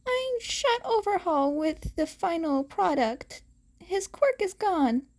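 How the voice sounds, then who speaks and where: Woman voicing a nervous, high-pitched teenage boy. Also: animated, takes it slowly, full character voice. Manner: hesitant, stammering.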